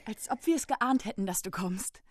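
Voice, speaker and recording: An actress playing a soldier's excited, anxious young sister in a radio play, exclamatory and emotional. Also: female voice, medium pitch, normal speed, no room sound, clean studio sound, lightly acted. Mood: tender.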